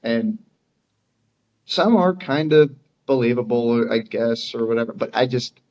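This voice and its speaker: A man's voice: mid-range voice, animated, a little slow, lo-fi sound. An American man talking as a podcast guest in casual chat, easygoing, plain-spoken and loosely phrased. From Dayton, Ohio, USA. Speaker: podcast guest, air traffic controller.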